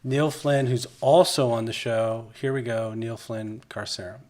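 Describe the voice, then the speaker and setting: A man's voice: mid-range voice, steady tone, a little slow, a little mellow. A man hosting a podcast in a casual, conversational interview style. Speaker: podcast host.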